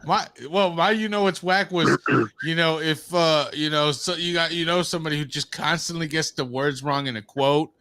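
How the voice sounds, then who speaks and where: Fairly high-voiced, laughing male host. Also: normal speed, bright, forceful tone, no echo. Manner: laughing, loose, rambling. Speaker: podcast host. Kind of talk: casual podcast banter.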